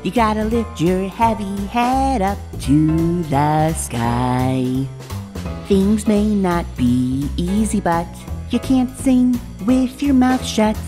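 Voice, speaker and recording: A woman voicing a theatrical female pony, singing a cheerful children's musical number in drawn-out lines. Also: mid-range voice, expressive, over music. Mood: uplifting.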